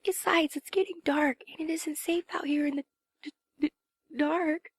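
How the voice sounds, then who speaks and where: Timid pegasus pony girl played by a woman, soft and stammering, high-pitched, dry. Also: steady tone, moderate pace, dry recording. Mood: scared, timid. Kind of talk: fan audiobook reading.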